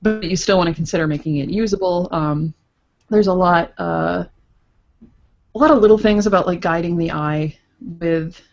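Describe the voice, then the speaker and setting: A woman with a low-pitched voice and plenty of vocal fry talks through her craft as an interview guest. Her delivery is casual and halting, often stopping and restarting. The audio is slightly dull and lo-fi. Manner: hesitant, stop-and-start phrasing. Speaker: guest, visual novel creator. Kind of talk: casual explanatory interview talk.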